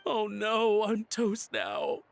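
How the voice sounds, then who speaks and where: An actor playing a nervous, guilty antique collector in a video game, melodramatic and easily flustered. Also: male voice, high-pitched, takes it slowly, gravelly, a little hiss or hum, exaggerated voice. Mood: panicked, pleading. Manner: flustered stammering and melodramatic pleading. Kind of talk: voice-acted game dialogue.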